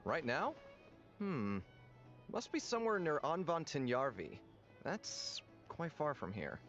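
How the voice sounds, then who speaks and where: Friendly innkeeper from a video game, animated and easygoing, music under it. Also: male voice, fairly high pitch, takes it slowly. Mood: explaining. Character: genial, hearty male innkeeper. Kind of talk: video game character acting.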